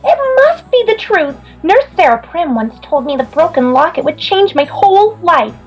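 High-pitched, earnest girl heroine, acted by a woman. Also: big pitch swings, moderate pace, faint music behind, low-quality recording. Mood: breathless wonder. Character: sweet, earnest rich girl in distress. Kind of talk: amateur radio-play reading of old comics.

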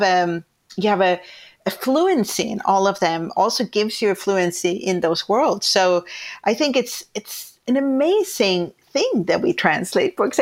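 A woman hosting a podcast in casual conversation, enthusiastic and self-deprecating. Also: medium pitch. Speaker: podcast host.

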